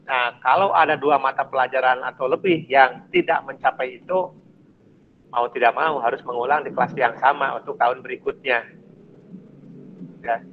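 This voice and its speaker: A middle-aged man, a teacher with a West Java Indonesian accent, explaining things informally and haltingly to students on a video call. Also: mid-range voice, steady tone, slight background noise. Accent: Sundanese-region Indonesian. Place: small indoor office or classroom, on video call. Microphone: headset on video call. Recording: dull, lo-fi video-call audio.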